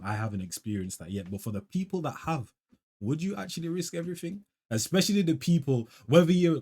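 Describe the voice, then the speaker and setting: A man's voice: medium pitch, warm tone. A young man, chatting casually as a music reaction host and musing aloud in heavy slang. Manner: slangy, musing, tags lines with "fam" and "bro".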